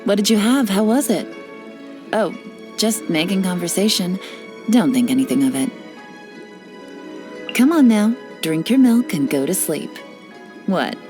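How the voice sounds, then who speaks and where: Yelan, a woman voicing a sly, unhurried spy. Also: medium pitch, music in the background, close to an everyday voice. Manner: teasing, relaxed, lightly sly. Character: cool, teasing female spy, sly and unhurried. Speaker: game character voice lines. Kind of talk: studio game voice-over.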